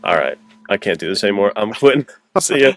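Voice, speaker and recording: A man's voice: on the low side, animated, a little fast, faint music behind. A man chatting casually and reflectively as a podcast guest, informal and earnest, thinking aloud in long runs. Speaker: podcast guest, adventure novelist.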